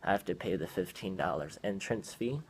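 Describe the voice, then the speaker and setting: A man's voice: sits low, a little fast, a little hiss or hum, low-quality recording. A young man talking casually at a meeting, hesitant, with many fillers and restarts. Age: young adult. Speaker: young man asking for trip support.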